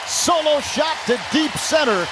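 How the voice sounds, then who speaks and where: A man's voice: very high-pitched, animated, measured pace. An American man calling a baseball game live on radio as play-by-play announcer, in clipped phrases that follow the action. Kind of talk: live radio sports commentary. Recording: crowd ambience noise behind broadcast. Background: ballpark ambience noise.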